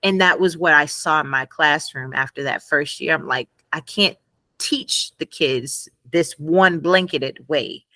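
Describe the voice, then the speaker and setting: Woman, bright voice, lower pitch, dry close sound, animated storyteller, laughs a lot. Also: a little slow, no echo. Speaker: guest, former math teacher. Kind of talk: conversational interview.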